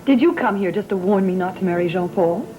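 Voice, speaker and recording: A woman's voice: mid-range voice, a little fast, warm tone, a little hiss or hum, lightly acted, low-quality recording. An actress playing a resolute, unafraid young bride-to-be in a gothic soap opera, composed and firm in her delivery. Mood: defiant. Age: young adult. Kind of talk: 1960s-70s gothic soap opera acting. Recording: old TV soundtrack with background music.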